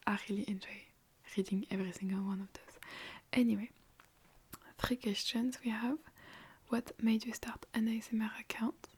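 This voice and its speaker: A young woman from France with a French accent, whispering softly and chattily as she answers viewer questions in an ASMR video from her bedroom, recorded on a phone. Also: fairly high pitch, husky. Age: young adult. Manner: soft unvoiced whisper, rambling and chatty. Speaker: ASMR creator.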